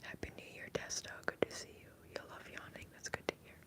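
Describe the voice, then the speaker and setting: A woman hosting an ASMR live stream, whispering softly as she rambles and greets viewers in the chat. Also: fast talker. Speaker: ASMR livestream host.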